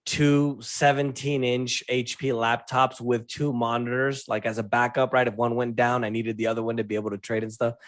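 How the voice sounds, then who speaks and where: Late 30s guy from venezuela on a podcast, telling stories casually, medium pitch, really dry close sound. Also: flat delivery, normal speed, few pauses, no echo. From born in Venezuela, considers himself American. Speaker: podcast guest, trader. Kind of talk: casual conversational storytelling.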